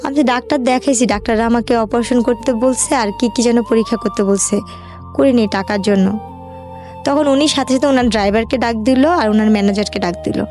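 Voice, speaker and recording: High-voiced Bangladeshi woman telling her story. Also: fairly quick, over music. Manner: colloquial, run-on narration with quoted dialogue. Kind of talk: personal storytelling in an interview, recounting conversations.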